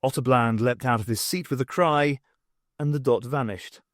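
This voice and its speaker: A man reading an audiobook story as scripted narration, in an expressive, dramatised manner. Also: mid-range voice, normal speed, dry recording, studio quality. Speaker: audiobook reader. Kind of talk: dramatised reading with voices for characters.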